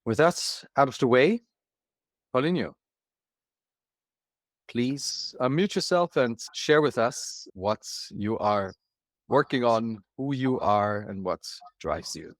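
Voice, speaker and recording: A man hosting an online town hall, giving a formal welcome in measured, deliberate phrases over a video call. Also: medium pitch, clean studio sound. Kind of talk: formal spoken welcome and introduction.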